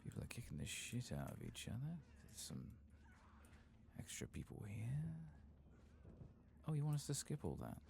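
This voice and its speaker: A tired man giving soft-spoken, partly whispered ASMR commentary on a game, murmuring unhurried asides to himself. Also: medium pitch, huge pitch swings, gravelly, slight background noise. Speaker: ASMR gaming streamer.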